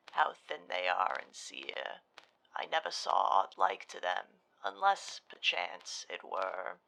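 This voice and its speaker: A man's voice: medium pitch, vocal fry, pushed and bright, full character voice, poor audio. A man voicing an old, pious and cheery hermit within a recited poem, speaking in an archaic, solemn way.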